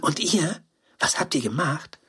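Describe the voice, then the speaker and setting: A friendly, curious toy animal fellow from a children's storybook, played by a man. He sounds admiring and eager, with a very husky, breathy tone and animated pitch. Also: a little slow, heavily acted. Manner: eager questioning, gently encouraging.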